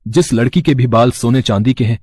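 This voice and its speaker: A man's voice: mid-range voice, fairly quick, a little mellow, breathy edge, no echo, clean studio sound, close to an everyday voice. A man voicing a lovestruck, determined young prince in a fairy tale, formal and resolute.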